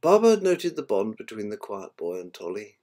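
An older man narrating a children's story from a book in a measured, amateur reading manner. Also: on the low side, very animated, a little mellow. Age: 60s or older. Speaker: author reading his own book.

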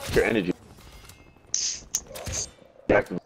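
A man's voice: measured pace, a crowd in the background. A young man trash-talking over game voice chat, taunting and mocking with repeated jabs. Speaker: gaming YouTuber.

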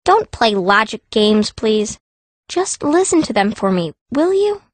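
A sharp-tongued young girl mage tells her own story in a fantasy audiobook. Her voice is fairly high, blunt and cranky, recorded close and dry. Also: sing-song, a little slow, no room sound. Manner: blunt, grumbling. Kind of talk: first-person audiobook narration.

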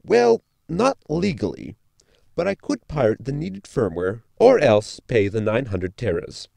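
A man's voice: medium pitch, very animated, measured pace, gravelly, warm tone, dry recording, heavily acted. A man playing a polite, pedantic science robot in a sci-fi comedy audio drama, speaking formally and precisely. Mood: politely apologetic.

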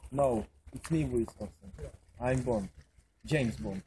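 A young man playing a brash secret agent in an amateur film, reading his lines stiffly and fast. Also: medium pitch, close to an everyday voice. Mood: tense. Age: young adult. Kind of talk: amateur fan film acting. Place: outdoors in a park. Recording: noisy outdoor camera audio.

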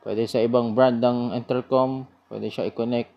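A man giving a casual product review in an informal, sales-pitch manner. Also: little pitch movement, moderate pace, creaky, dull audio.

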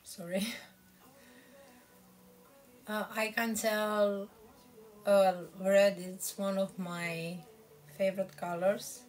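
A woman's voice: medium pitch, level tone, extremely slow, pauses often. Romanian-accented woman chatting on a livestream. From Moldova. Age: 30s. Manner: chatty, answers comments, soft laughs. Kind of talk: casual livestream chat with viewers. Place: bedroom in front of padded headboard, indoors. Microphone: phone camera at arm's length.

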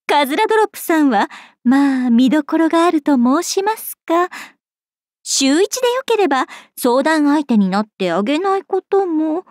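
High, lilting, coquettish fox woman. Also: sing-song, normal speed, a little mellow, dry recording, clean studio sound. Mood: smug, appraising. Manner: genteel, condescending. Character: sly, coquettish fox woman, coolly villainous. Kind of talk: Japanese game character voice lines.

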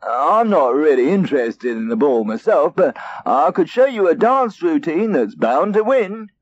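A man's voice: a little high, sing-song, measured pace, voice pushed up. A man voicing a sly storybook cat with a crawly, smirking manner and a smug, scheming temper. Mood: feigning disinterest. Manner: crawly, smirking, feigned casualness. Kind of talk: storybook character reading. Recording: dull tape transfer, faint noise.

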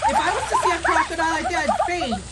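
A woman's voice: extremely high pitch, wildly swooping pitch, very fast, heavy background noise. A woman hosting an online radio show, chatting casually and expressively in exclamations to her audience over a video call. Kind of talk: lively casual reaction chat.

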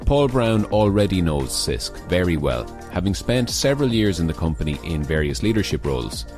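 A man hosting a company podcast, presenting a scripted introduction in a measured way and then interviewing. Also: deep voice, big pitch swings, a little mellow. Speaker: podcast host. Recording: music bed under the voice.

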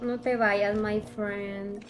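A woman's voice: mid-range voice, little pitch movement, drawn-out words, over music. A young woman with a Mexican Spanish accent chats casually and slangily as she streams a game, often trailing off mid-thought. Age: young adult. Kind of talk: casual livestream chat while gaming. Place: indoor room, alone. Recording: game vehicle and helicopter noise behind. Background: mobile shooter game sounds, helicopter.

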